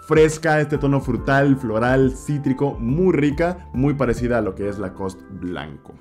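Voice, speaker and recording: A young man with a Mexican Spanish accent chats through his favorite scents, gesturing and repeating words for emphasis. His voice sits at an ordinary middle pitch. Soft guitar music plays faintly under him in a small indoor room. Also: animated, measured pace. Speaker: fragrance reviewer. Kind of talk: casual review vlog. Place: indoor room with shelves of perfume bottles. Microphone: desk mic in front, camera at mid distance.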